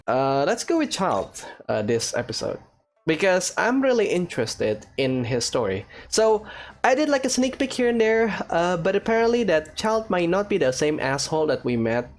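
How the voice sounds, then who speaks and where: A man's voice: sits high, big pitch swings, moderate pace. A man giving casual, rambling, jokey let's play commentary as a gaming host. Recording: music under the voice. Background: game music.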